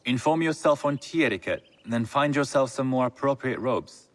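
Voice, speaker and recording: An actor playing a shrewd, haughty merchant's son of feudal Japan, formal and deliberate, in a video game cutscene. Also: male voice, medium pitch, animated, close to an everyday voice. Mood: approving, wry.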